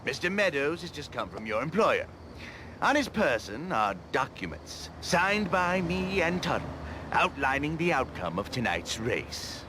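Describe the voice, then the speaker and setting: Pompous, slow-talking theatrical villain. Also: male voice, on the high side, big pitch swings, background noise. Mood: smug, scheming. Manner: pompous, grandiose, mannered phrasing. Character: pompous, theatrical, scheming rich businessman villain. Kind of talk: video game cutscene acting.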